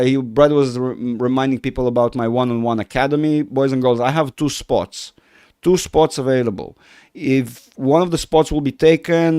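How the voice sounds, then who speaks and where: A man's voice: medium pitch, warm tone, clean tone, no echo, clean studio sound. A man from Israel, a native Russian speaker, hosting a casual market livestream, rambling and self-correcting, effusive in his praise.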